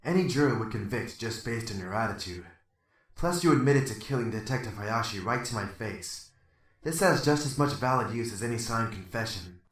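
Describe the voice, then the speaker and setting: A man's voice: mid-range voice, animated, normal speed, a little hiss or hum, lightly acted. A man playing a restrained, by-the-book police detective in a radio drama, speaking firmly and with control. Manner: firm, controlled, reproachful. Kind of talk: amateur radio drama acting. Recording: roomy, slightly distant, music underneath.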